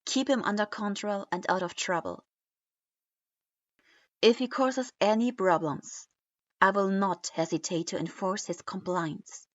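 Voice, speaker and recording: A woman voicing a stern, weary male criminal leader from a storybook reading, speaking dryly and slowly. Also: mid-range voice, natural voice. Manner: dry and measured. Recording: dull lo-fi recording.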